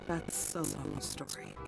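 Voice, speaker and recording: A woman's voice: deep voice, vocal fry, full character voice. A woman playing a polite, weary, apologetic wife with anxious worries in a video game. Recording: loud game music behind.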